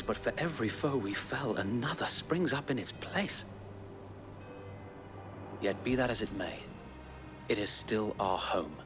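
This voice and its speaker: An actor playing a loyal, battle-weary resistance knight in a fantasy game, earnest and formal with a rough soldier's tongue. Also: male voice, mid-range voice, natural voice. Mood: solemn, resolute. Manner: earnest, rousing, formal speech with some coarse swearing. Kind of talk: dramatic fantasy game acting. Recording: orchestral game music behind.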